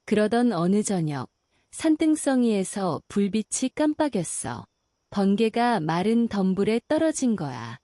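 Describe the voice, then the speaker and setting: A woman's voice: medium pitch, very animated, dry recording, studio quality. Soothing woman reading a bedtime story. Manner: slow, soothing and gentle. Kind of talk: calm bedtime story narration.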